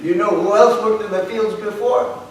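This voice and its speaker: Echoey hall, man acting old grandfather, high animated voice, fond storyteller, stage drama. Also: moderate pace, lightly acted. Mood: reminiscing. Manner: storytelling, sprinkled with Spanish. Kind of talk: staged reading of a play. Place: arts center stage, live performance. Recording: echoey hall, distant mic, faint noise.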